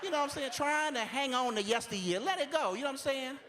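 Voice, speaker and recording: A man delivers a stand-up set in an easy, conversational storytelling way. His voice sits high for a man. A faint noise runs underneath. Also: expressive, quick pace. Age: 40s. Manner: conversational storytelling, repeats "you know what I'm saying". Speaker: stand-up comedian. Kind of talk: stand-up comedy routine.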